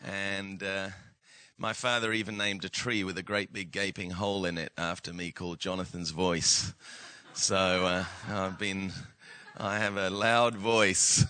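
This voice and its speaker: Booming man, bright and clear. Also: fairly even intonation, measured pace. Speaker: guest pastor. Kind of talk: church talk.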